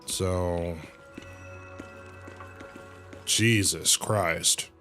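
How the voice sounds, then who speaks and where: A man's voice: deep voice, fairly even intonation, extremely slow, pushed and bright, faint music behind. A man livestreaming a game, reacting casually in a slangy, hesitant way with emphatic repetition. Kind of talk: casual live reaction commentary, sometimes reading game lines aloud.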